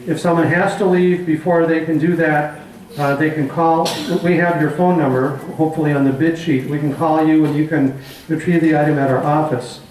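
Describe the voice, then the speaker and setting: A middle-aged man organizing a community forum, making announcements in a halting way full of fillers. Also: on the high side, fairly even intonation, darkish tone. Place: community hall meeting room. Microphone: handheld mic, far camera. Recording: echoey hall from far off.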